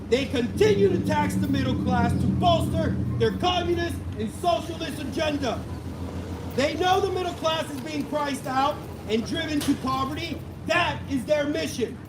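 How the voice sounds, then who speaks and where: A man from Miami at a street protest, switching between rambling casual talk and loud, declaiming proclamations. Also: extremely high pitch. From Miami, United States. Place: outdoors on a city street. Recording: distant voice, loud street and traffic noise. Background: traffic and urban ambience.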